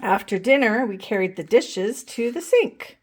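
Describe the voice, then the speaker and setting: Woman as eager little kindergarten girl, lively pitch. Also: measured pace. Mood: proud, eager. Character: eager little kindergarten girls proudly reporting good deeds.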